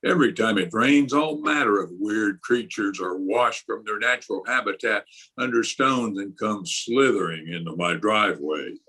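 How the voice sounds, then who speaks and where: An actor playing a pompous, irritable middle-aged high school principal with grandiloquent, blustering delivery in a radio comedy. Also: male voice, normal speed, pushed and bright, husky. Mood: sour, disdainful. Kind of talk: old-time radio comedy acting. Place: remote recording over video call.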